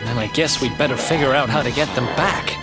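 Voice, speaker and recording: An actor playing a wry, resolute young adventurer in a video game. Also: male voice, mid-range voice, fast talker, over loud music, natural voice. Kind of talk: video game cutscene acting.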